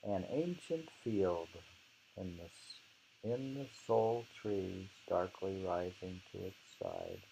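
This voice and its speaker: A man's voice: low voice, big pitch swings, takes it slowly, creaky, dark tone, slight background noise, poor audio. A man reading aloud from a book of poetry and commenting informally, in a rambling, musing manner. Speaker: reader leading a poetry book discussion.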